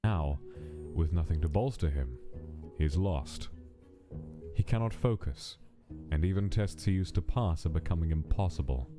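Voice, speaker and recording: An actor playing a cold scientist who narrates his experiment log in a measured, formal, detached way, in a comic dub. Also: deep voice, animated. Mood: clinical, concerned. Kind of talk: scripted dramatic monologue in a comic dub. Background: music behind the voice.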